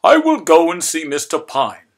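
A man voicing an urgent, bossy small-town mayor in a children's storybook, loud and emphatic. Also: fairly high pitch, big pitch swings, moderate pace, voice pushed up. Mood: insistent.

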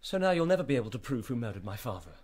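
An actor playing an anxious, indignant murder suspect in a radio drama, urgent and questioning. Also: male voice, mid-range voice, big pitch swings, very fast, a little mellow, lightly acted. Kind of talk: BBC radio drama acting. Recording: echoey room, faint noise.

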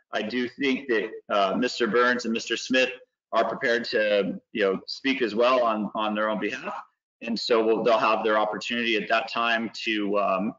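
A man from the district staff explaining a process at an online board meeting, halting and full of ums. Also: fairly low pitch, sharp tone. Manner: halting, many ums and uhs. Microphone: video call. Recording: dull lo-fi video call audio.

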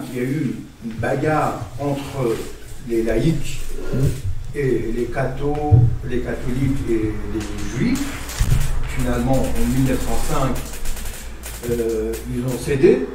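A man from Iran speaking in a public discussion, measured and hesitant with many fillers, recorded by a far camera in a meeting room. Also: mid-range voice, heavy reverb, background noise. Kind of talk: debate contribution.

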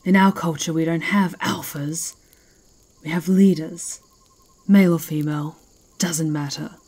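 A fierce werewolf chieftess, acted by a woman for an ASMR fantasy roleplay. Her voice is low and husky, and she talks slowly, with proud, mocking authority. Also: steady tone, a little mellow. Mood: stern, proud. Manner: slow, taunting, imperious.

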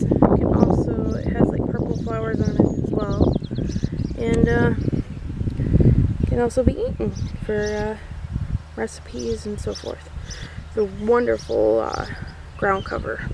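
A woman with a slightly high, gravelly voice chats her way through a garden tour, unsure of some plant names. She talks outdoors into a handheld camera mic, and loud outdoor noise sits behind her. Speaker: guide filming a garden tour. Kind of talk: casual walking garden tour.

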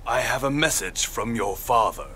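A man's voice: mid-range voice, normal speed, pushed and bright, a little hiss or hum, close to an everyday voice. An actor playing an older royal retainer in a game, speaking formally and deferentially as he delivers messages. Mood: grave, formal. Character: old royal retainer, formal, urgent, respectful messenger.

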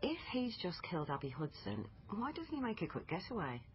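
A woman's voice: on the low side, wildly swooping pitch, a little fast. A woman playing a dogged, questioning British police liaison officer in a naturalistic radio drama, probing and thinking aloud. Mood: puzzled, thoughtful. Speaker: actor in radio crime drama. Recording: muffled broadcast with clear background noise.

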